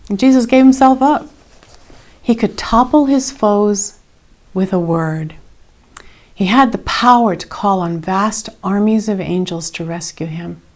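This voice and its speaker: A woman reading a scripted Bible story in a measured, dramatic way with expressive emphasis. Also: mid-range voice, vocal fry. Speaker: reader of scripture.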